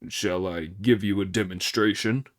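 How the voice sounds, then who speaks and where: A man's voice: sits low, fairly even intonation, normal speed, dry recording, clean studio sound, voice pushed down, close to an everyday voice. A man reading an audiobook, voicing a lazy, sly male pig-spirit who mocks and grumbles. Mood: mocking. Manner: mocking, grumbling.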